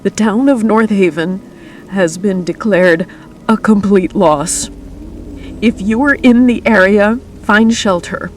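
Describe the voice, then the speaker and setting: A woman playing a weary emergency radio announcer in an apocalypse radio drama, speaking in a grave, deliberate manner. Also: medium pitch, slight background noise, close to an everyday voice. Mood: grave, urgent. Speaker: radio news broadcaster. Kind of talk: scripted radio drama broadcast.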